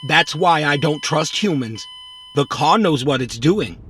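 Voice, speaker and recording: An actor playing a boastful, literal-minded robot in a children's audio drama, with a self-important, deadpan manner. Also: medium pitch, measured pace, soft background music. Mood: distrustful, serious.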